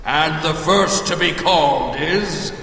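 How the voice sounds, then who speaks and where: An actor playing a formal royal herald in an audio drama, making a loud ceremonial proclamation. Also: male voice, on the high side, takes it slowly. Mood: proclaiming. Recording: very echoey great hall reverb.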